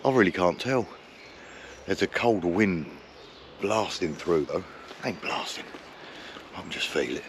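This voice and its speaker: Hungover deep-voiced man. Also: lively intonation, measured pace, long pauses, background noise. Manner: chatty, jokey, self-mocking. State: hungover, groggy. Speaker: vlogger. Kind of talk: casual camping vlog to camera. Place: woodland camp outdoors.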